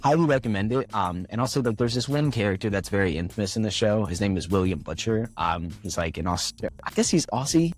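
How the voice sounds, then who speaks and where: Lively young man telling stories. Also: on the low side, fast talker, few pauses, faint music behind. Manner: animated storyteller, acts out quoted voices. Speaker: voiceover artist, guest. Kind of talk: casual interview chat.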